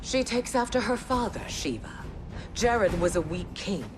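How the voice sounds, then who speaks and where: A woman's voice: medium pitch, lively intonation, normal speed, noisy background, natural voice. A woman playing a ruthless, imperious warrior empress, haughty and commanding, in a video game cutscene. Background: dramatic game score.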